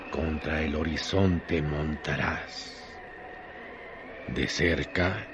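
A man performing as the solemn narrator of a radio drama, with deliberate, literary phrasing and long pauses. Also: low voice, creaky, background noise, exaggerated voice. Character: solemn male narrator of a desert tale.

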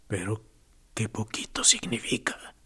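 An actor playing a tortured male prisoner in a radio drama, speaking in a hoarse whisper with morbid sarcasm. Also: fairly low pitch, sharp tone, full character voice.

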